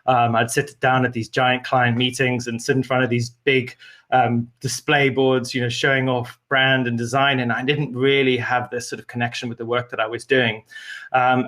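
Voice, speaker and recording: A man's voice: mid-range voice, fairly bright tone. A man from Zimbabwe, a guest in an interview, telling his story earnestly with many fillers and restarts. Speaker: guest, media company co-founder. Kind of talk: conversational interview answers.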